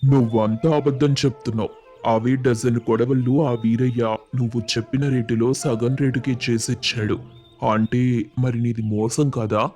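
A man acts out a haughty landlord from an animated village tale. He is harsh, domineering and dismissive, pushing the voice down low. Soft music runs underneath. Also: moderate pace, lightly acted. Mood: angry, accusing. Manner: stern, imperious, dismissive. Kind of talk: animated moral story voice acting.

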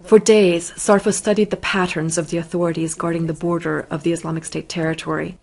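A woman's voice: lowish voice, little pitch movement, brisk, creaky, darkish tone. A woman reading scripted narration for a news report.

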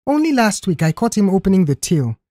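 A woman's voice: mid-range voice, big pitch swings, brisk, mellow, no room sound, clean studio sound, pitched above the performer's own voice. A woman voicing an insistent, accusatory female receptionist in an audio story. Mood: accusing.

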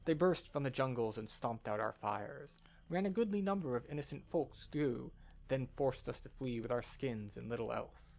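Man acting as fantasy game scholar, earnest, formal, measured, creaky, medium pitch. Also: a little mellow, lightly acted. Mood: grave, pleading.

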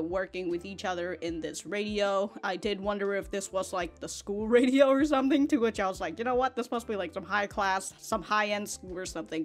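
A young woman casually reviewing an anime episode into a studio mic, rambling with restarts and quick laughs. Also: medium pitch. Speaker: anime reaction youtuber. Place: small room, home studio. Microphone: foam-covered studio mic in front.

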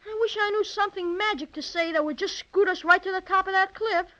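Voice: very high-pitched, a little fast, pushed and bright. A performer playing a plucky, impatient young boy, earnest and forthright, in a 1930s children's radio serial. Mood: indignant. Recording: dull, band-limited 1930s radio recording.

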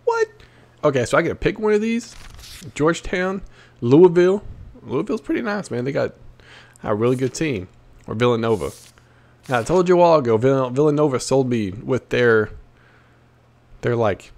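Male gaming host, medium pitch, casual and slangy running commentary, repeats himself, answers the game aloud. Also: expressive, moderate pace, gravelly, pauses often, a little mellow. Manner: slangy, repeats phrases, talks back to the game.

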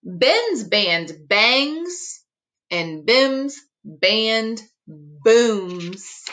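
A middle-aged woman teacher reading a rhyming picture book aloud expressively, articulating tongue twisters with deliberate care. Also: a little high, very animated, sharp tone. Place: small room at home, indoors.

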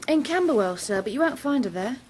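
An actress playing a respectful but plain-spoken Victorian housemaid in a radio drama. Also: female voice, high-pitched, animated, quick pace, a little mellow, slight background noise. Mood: deferential, plain.

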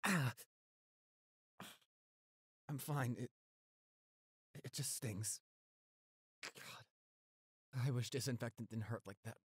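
A man playing a gentle, devoted, weakened boyfriend in an intimate roleplay audio drama, speaking softly, haltingly and reassuringly. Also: mid-range voice, slightly rough, no room sound. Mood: tender, pleading. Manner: tender, halting, reassuring, close and soft.

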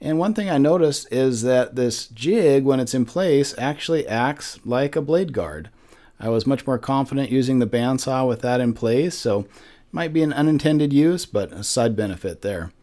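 A man's voice: medium pitch, mellow. A man in his forties giving a friendly step-by-step woodworking lesson in his wood shop. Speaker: woodworking instructor. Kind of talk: instructional shop tutorial. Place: wood shop with lumber racks, indoors.

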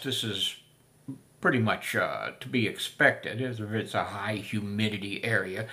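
An older man talking through the history of a piece of camping gear in a folksy, unhurried way, with many filler sounds. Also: on the low side, a bit bright. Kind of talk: casual spoken history lesson. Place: small room indoors.

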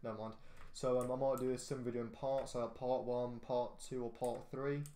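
Male gamer, chatty and rambling, monotone delivery, echoey bedroom with faint hiss. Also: mid-range voice, normal speed. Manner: rambling, hesitant, lots of fillers and restarts. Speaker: gaming YouTuber. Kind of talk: casual game commentary.

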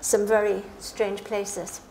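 A woman's voice: mid-range voice, flat delivery, slow, darkish tone. A woman chairing a live book event, asking questions in a conversational way. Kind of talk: conversational on-stage interviewing. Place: small dark stage before a seated audience. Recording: noisy live event room recording.

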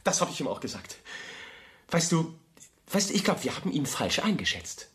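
An actor playing an eager, devoted young architect in a radio play, speaking earnestly and insistently and repeating himself. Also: male voice, fairly high pitch, big pitch swings, normal speed, a bit bright. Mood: excited, hopeful. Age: young adult. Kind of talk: German radio play acting.

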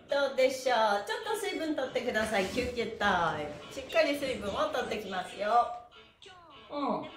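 A woman's voice: fairly high pitch, far from the mic. A middle-aged woman leading an exercise lesson, cheerful and playful, calling out instructions and rhythmic chants. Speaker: stretching instructor and host. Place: small indoor room with bare walls. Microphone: camera mic from a few steps away. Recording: echoey room, away from the mic.

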